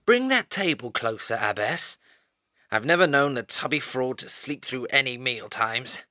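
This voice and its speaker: A man putting on a cheeky young hare soldier, bright and lively, with plenty of rise and fall. It is a dry, close audiobook recording. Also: mid-range voice, moderate pace, dry recording. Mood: teasing. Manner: cheeky and jovial. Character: hearty greedy young male hare soldier. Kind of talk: audiobook character voice.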